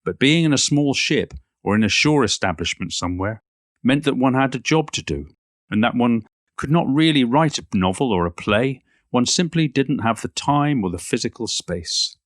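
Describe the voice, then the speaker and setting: A man playing a reflective, modest older Cornish poet in an audio play monologue, reminiscing in a measured, literary and musing way. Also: mid-range voice, expressive, no echo, studio quality, close to an everyday voice. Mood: reflective, earnest.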